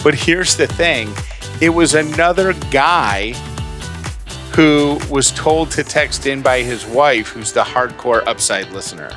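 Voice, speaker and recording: A relaxed man co-hosting a chatty podcast, his voice sitting at an ordinary middle pitch. He talks in a loose, joking way. Clear music plays under his voice. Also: a little slow. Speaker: podcast co-host. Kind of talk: casual chat.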